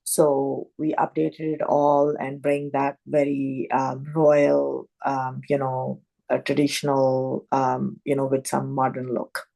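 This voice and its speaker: A woman introduces her restaurant over a video call, her voice low and gravelly. She talks in halting bursts and leans heavily on "you know" and "um" as she goes. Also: completely flat delivery, warm tone, rough recording. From Pakistan. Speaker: restaurant owner. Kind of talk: casual presentation on a video call.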